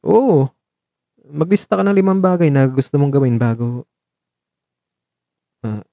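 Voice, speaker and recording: Man acting a devoted, mellow-voiced Filipino boyfriend. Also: fairly high pitch, animated, measured pace, gravelly, dry recording, studio quality. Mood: playful, earnest. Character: devoted, protective young man caring for dying lover. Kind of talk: Filipino radio drama acting.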